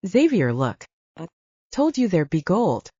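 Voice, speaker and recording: Narrator lady doing playful teasing heroine, audiobook, husky and lively, kinda dull sound. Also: on the low side, a little slow, no echo, exaggerated voice. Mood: wonder. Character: playful, teasing woman, lover of a werewolf.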